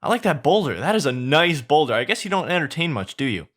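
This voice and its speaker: A man doing a chatty, high-pitched talking donkey. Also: big pitch swings, quick pace, a bit bright. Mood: gushing. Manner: rambling, eager, pleading.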